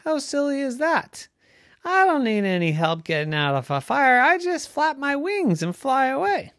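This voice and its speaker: A woman voicing an earnest, plucky young male duck hero in a children's story, excitable and insistent. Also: rumbling low, takes it slowly, dry recording, studio quality, heavily acted. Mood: determined.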